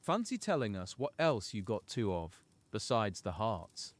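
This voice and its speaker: Man acting a cheeky, lively-voiced companion. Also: lowish voice, unhurried. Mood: teasing curiosity. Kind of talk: fan audio drama acting.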